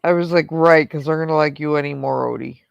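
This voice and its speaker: Woman stream host, very fry-heavy voice, chatty storyteller, dead-dry mic. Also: deep voice, fast, no room sound, lo-fi sound. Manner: chatty storyteller, quotes herself in asides. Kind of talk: casual group chat on a stream.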